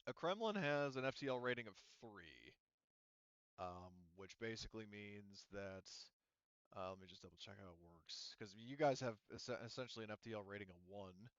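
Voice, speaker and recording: Male game master, low smooth voice, rambling and casual, close dry sound with slightly dulled top end. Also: animated, normal speed, no room sound, dull audio. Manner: rambling, thinking aloud, casually profane. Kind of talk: casual tabletop roleplaying game narration.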